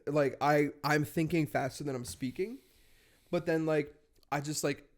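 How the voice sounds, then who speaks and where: A man's voice: on the high side, fairly even intonation, measured pace. A young man co-hosting a podcast in casual chat, joking and laughing, sometimes getting stuck on words. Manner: joking, laughs a lot, gets hung up on words. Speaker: podcast co-host.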